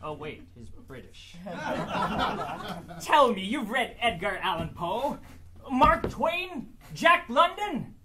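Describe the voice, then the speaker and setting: A man's voice: high-pitched, very animated, takes it slowly, pauses often, bright, forceful tone, slight background noise, heavily acted. A man playing a know-it-all young traveler. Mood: pedantic. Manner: lecturing, pedantic. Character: know-it-all young male immigrant, bookish and self-assured. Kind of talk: live staged musical reading.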